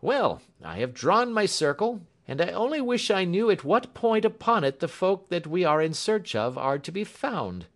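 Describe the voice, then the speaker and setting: A man's voice: sits high, sing-song, moderate pace, hardly any pauses, pitched above the performer's own voice. A man voicing a hearty, eager police inspector in an audiobook, confident, jovial and emphatic. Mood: brisk. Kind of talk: audiobook character acting.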